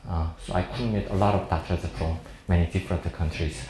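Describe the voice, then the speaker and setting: Casting: male seminar lecturer, forties, native Korean with accented, halting English, jovial manner, fairly low pitch, recorded in an echoey room. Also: steady tone, a little mellow. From South Korea. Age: 40s. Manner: halting, jovial non-fluent English with frequent chuckles. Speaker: plastic surgeon lecturing at a medical seminar. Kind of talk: informal seminar presentation to an audience.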